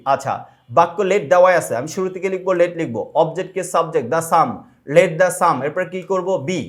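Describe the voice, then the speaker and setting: High-pitched Bangladeshi man teaching step by step. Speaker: English grammar teacher. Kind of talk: lesson narration, step-by-step exercise walkthrough.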